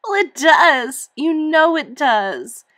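A woman's voice: sits high, slow, no echo, voice pushed up. Woman doing a sobbing teenage girl. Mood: tearful. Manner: pleading, on the edge of sobbing. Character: upset teenage girl, tearful and self-conscious.

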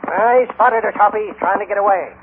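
An actor playing a grumbling cowboy sidekick in a radio western, with a folksy, blustering rural Western American drawl. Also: male voice, sits high, a little fast. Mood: excited, flustered. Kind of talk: vintage radio western acting. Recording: noisy, tinny old radio recording.

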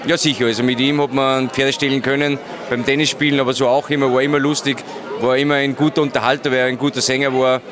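Austrian guy in his 40s, talks fast, interview in a busy foyer, crowd chatting behind. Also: mid-range voice, little pitch movement. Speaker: interviewee, friend of the author. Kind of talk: casual interview. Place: large busy indoor foyer. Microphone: handheld interview microphone.

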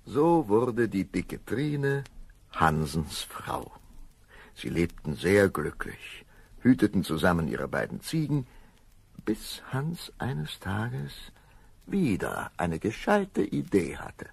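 Deep gravelly male fairy-tale narrator. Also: very animated, takes it slowly, no echo. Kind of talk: fairy-tale narration for a children's audio play.

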